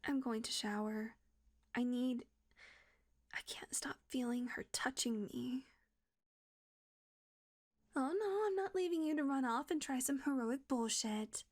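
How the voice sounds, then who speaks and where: Woman doing a vampire lady, kinda high voice, whispery and tense, swears a lot. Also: a little slow, pauses often. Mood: weary, firm. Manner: shifts from hushed whispers to cursing outbursts. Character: tense female vampire guarding a hunter. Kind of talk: audio roleplay acting.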